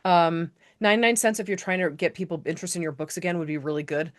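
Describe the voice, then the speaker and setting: A woman hosting a casual live online training, chatty and rambling, correcting herself and filling pauses with "um". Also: lowish voice. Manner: chatty, rambling, self-correcting with many fillers. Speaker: host of a live author-business training.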